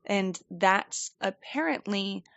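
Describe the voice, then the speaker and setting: A woman's voice: mid-range voice, lively intonation, takes it slowly, vocal fry, fairly bright tone, no echo. A woman co-hosting a podcast, telling a story in a chatty, rambling way and laughing often. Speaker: podcast co-host. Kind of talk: casual storytelling chat. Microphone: desk microphone.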